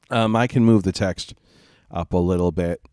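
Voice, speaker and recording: Stuffy-nosed man, slow and low. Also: big pitch swings, vocal fry, warm tone, no room sound, clean studio sound. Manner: rambling and apologetic, many fillers and restarts. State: congested, stuffy nose from a cold. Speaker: streamer hosting a gaming stream. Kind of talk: casual live-stream chat. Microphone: home streaming mic.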